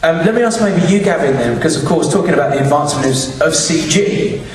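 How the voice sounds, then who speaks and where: A man's voice: on the high side, animated, fast talker. A man hosting a live question and answer event in a large cinema, heard over an audience. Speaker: event host introducing guests and putting questions. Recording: very echoey cinema, distant mic, crowd noise. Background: audience noise.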